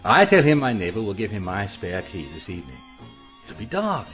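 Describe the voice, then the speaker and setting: An actor playing a genteel, scheming British publisher in a radio mystery, speaking in an urbane, theatrical, condescending drawl. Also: male voice, fairly low pitch, wildly swooping pitch, a little fast, a bit bright. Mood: smug scheming. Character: genteel, scheming widowed male publisher, calmly plotting murder. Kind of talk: radio mystery drama acting. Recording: dull band-limited audio with music.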